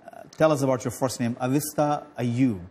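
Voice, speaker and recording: A man from Pakistan hosting a studio interview show, speaking haltingly with many fillers and restarts. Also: mid-range voice, steady tone, warm tone, slight background noise. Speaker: TV talk show host.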